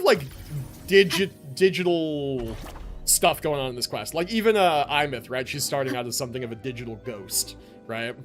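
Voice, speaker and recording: A man with a fairly high, very lively voice hosts a game livestream. He rambles casually in gamer slang as he talks to viewers, with faint music behind him. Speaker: live game streamer.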